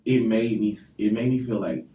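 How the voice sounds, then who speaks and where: A man with a lowish voice talks earnestly as a guest in a studio interview, often restarting and repeating words. He sits back from the mic, so his voice sounds roomy and distant, with a faint hum of ambience behind him. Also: moderate pace, mellow. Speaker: guest rapper and actor. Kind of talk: radio interview. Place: radio studio. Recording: echoey room, off mic.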